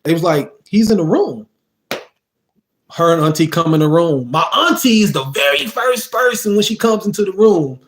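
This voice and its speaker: A man's voice: high-pitched, moderate pace. A young man, telling a childhood story casually to viewers and acting out the quotes. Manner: animated, acts out quotes, asks "you see what I mean?". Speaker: storytime vlogger.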